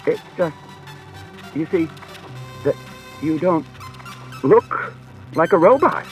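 A man's voice: medium pitch, very animated, fairly bright tone, voice pushed up. A man doing a flustered, nervous detective. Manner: nervous and halting. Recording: dull lo-fi with music behind.

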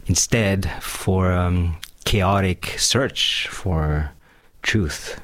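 A middle-aged man from Madagascar whose first language is French, musing and searching for words as a radio guest. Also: low voice. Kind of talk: casual interview chat.

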